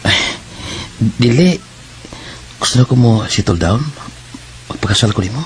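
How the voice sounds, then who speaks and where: Earnest young Bisaya suitor, faint noise. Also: male voice, fairly low pitch, expressive, measured pace, low-quality recording. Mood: earnest, pleading. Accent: Bisaya Filipino. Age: young adult. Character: young male suitor, earnest, possessive and jealous. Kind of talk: radio drama acting.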